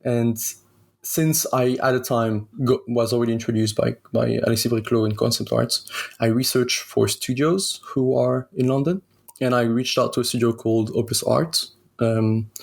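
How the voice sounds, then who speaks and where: A young man from Switzerland, a guest telling his story in a relaxed, hesitant way with many pauses. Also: medium pitch, vocal fry. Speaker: guest concept artist. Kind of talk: casual interview storytelling.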